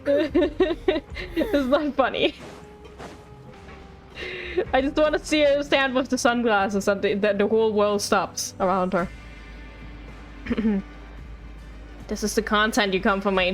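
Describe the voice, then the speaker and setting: A woman streamer giving casual game commentary in a playful, pleading and apologetic way. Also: mid-range voice. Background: game music.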